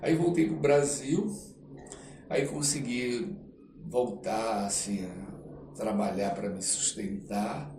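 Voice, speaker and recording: Brazilian guy telling stories and doing the dialogue, kinda far from the mic, faint noise behind. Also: on the low side. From Brazilian Amazon. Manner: animated, acts out dialogue, halting with "né" tags. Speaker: guest artist, actor telling life story. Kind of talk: casual anecdotal storytelling in a podcast interview. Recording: roomy echo, distant mic, faint noise.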